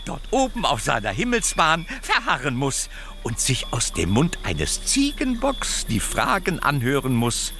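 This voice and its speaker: An actor playing a theatrical storyteller in a radio play, telling a whimsical folk tale deliberately and dwelling on repeated words. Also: male voice, a little high, huge pitch swings, sharp tone, soft background music, full character voice. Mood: whimsical, dramatic.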